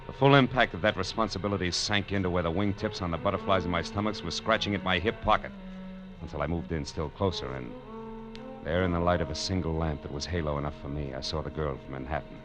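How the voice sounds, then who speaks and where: An American man playing a wry, tough private detective who narrates his case in 1940s radio drama style. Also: low voice. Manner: wry, sardonic, slangy. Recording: dull old radio broadcast recording.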